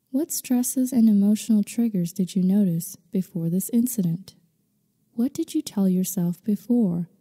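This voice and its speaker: A woman guiding a meditation in slow scripted narration, with a soothing, unhurried, gently instructing manner. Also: medium pitch. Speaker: meditation guide. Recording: long reverberant hall-like echo.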